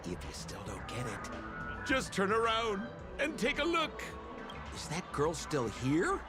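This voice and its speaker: An actor playing a flamboyant, teasing trickster in a video game, theatrical and mocking, with a smugly cryptic, insinuating manner. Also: male voice, high voice, huge pitch swings, measured pace, exaggerated voice. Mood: sly goading. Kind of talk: video game character dialogue. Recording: background music under the voice.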